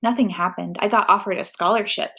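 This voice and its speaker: A woman reading aloud the lines of a quiet, troubled teenage boy talking with his mother. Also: mid-range voice, steady tone, fast. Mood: subdued.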